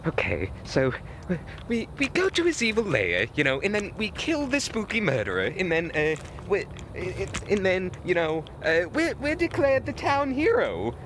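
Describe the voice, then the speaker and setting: A man's voice: high-pitched, huge pitch swings, normal speed, fairly bright tone, slight background noise. A man vlogging outdoors in the woods at night on a handheld camera, stammering nervously with repeated words and voice cracks. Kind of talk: mock-scared comedic night vlog.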